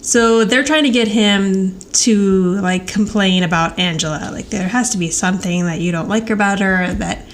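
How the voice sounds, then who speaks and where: A woman's voice: mid-range voice, normal speed, hardly any pauses. An American woman chatting casually as a podcast co-host, retelling TV scenes in a chatty, giggly way. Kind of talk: casual TV rewatch podcast chat.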